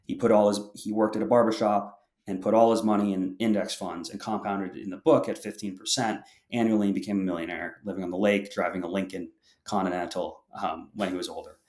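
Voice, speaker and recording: A young man with a very deep voice explains his thinking steadily, often backing up to restart a sentence. His voice carries a noticeable echo from the room around him. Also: steady tone, brisk, darkish tone. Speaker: podcast guest. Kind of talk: interview answers.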